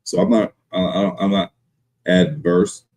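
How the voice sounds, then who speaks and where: A man's voice: deep voice, fairly even intonation, measured pace, darkish tone. A man in his thirties hosting a live podcast, speaking politely and hesitantly. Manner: polite, hesitant, many fillers and restarts. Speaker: podcast host. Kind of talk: casual live podcast talk.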